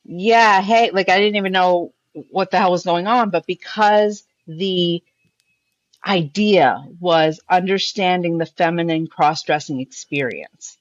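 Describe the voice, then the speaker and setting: A woman's voice: lowish voice, measured pace, no room sound, dull audio. A woman co-hosting a podcast, casually recollecting and explaining as an interview guest. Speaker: podcast co-host being interviewed.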